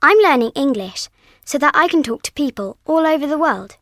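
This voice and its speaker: A cheerful schoolchild from France, voiced by a woman, high and friendly. The lines are read aloud in a bright, clear way. The recording is dry and close. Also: animated, brisk, dry recording. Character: cheerful French schoolchild from Paris. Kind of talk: scripted textbook monologue.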